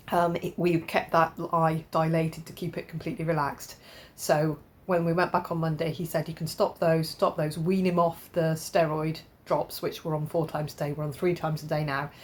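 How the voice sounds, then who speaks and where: A low-voiced woman talks to camera in a relaxed, rambling way, full of ums and false starts. Her nose is blocked from a cold, which thickens her voice. There is a faint hiss in the room behind her. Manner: chatty, rambling, lots of ums and restarts. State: stuffy-nosed from a cold. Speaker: crafting vlogger. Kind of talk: casual chat to camera. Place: home conservatory.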